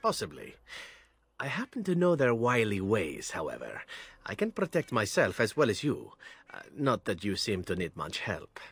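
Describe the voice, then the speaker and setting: Suave male elf assassin, low animated husky voice, wry game character. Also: moderate pace, dry recording, full character voice. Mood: lightly playful. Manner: smooth-talking, playful, formal phrasing.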